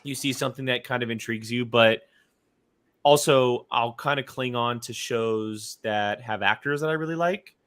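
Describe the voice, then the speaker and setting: A man co-hosting a talk show, chatting casually in a halting way with repeated phrases. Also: medium pitch, fairly bright tone, smooth, no echo. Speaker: co-host of a movie talk show. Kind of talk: casual chat.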